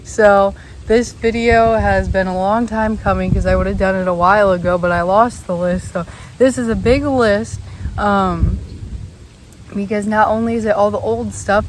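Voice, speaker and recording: A young woman talks casually to her camera, rambling along with plenty of ums and little apologies. Her throat still sounds slightly rough from a recent cold, and her pitch sits in an ordinary middle range. She is recording outside, with clear outdoor ambience and passing vehicles behind her. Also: low-quality recording. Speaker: vlogger. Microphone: camera set on a chair. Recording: outdoor noise, audible vehicles.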